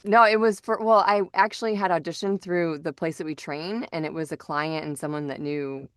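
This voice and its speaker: A woman with a notably low voice hosts a casual podcast conversation. The sound is dry, with no room around her, and she talks informally as one voice actor to another. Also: steady tone, fairly quick, clean tone, no echo.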